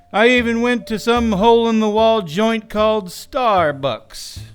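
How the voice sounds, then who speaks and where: A man acts as a hard-boiled detective in a noir parody on radio. His voice is high for a man and very clean, and he narrates slowly and wearily with soft music behind him. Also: smooth. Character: hard-boiled male noir gumshoe, world-weary coffee seeker.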